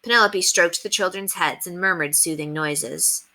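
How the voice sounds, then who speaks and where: Female storyteller, expressive read-aloud, bright tone, slightly low pitch, children's book narration. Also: moderate pace.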